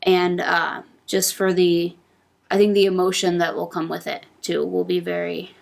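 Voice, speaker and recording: Low, creaky-voiced woman on a podcast. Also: little pitch movement, moderate pace. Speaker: podcast panelist. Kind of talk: casual wrestling prediction talk.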